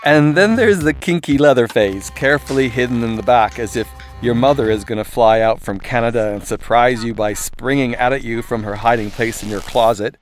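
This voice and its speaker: A middle-aged man narrates an audiobook in a medium-pitched voice. His delivery is sardonic and irreverent, leaning into comic exaggeration as he reads his own script. Faint music runs behind him. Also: brisk, few pauses. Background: music and soundscape.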